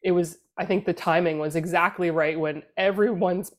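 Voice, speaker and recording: Canadian woman in her 30s, podcast panel guest. Lower voice, halting delivery with restarts. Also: steady tone. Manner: halting, searching for words, with light laughs. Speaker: political communications guest. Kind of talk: casual podcast discussion.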